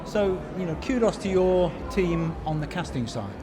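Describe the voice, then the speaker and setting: A man's voice: sits high, expressive, normal speed. A man hosting a podcast, narrating and chatting with a guest at an awards night. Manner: upbeat, chatty interviewer. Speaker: podcast host. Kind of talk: narration and interviewer at an awards event. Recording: music and crowd noise behind. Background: crowd chatter and music.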